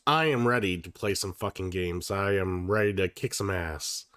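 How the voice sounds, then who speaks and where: A man's voice: deep voice, moderate pace, a bit bright, dry recording, clean studio sound. Sick, nasal-sounding man streaming casually. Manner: casual and profane, with many fillers and restarts. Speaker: livestream host. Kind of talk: casual livestream chat.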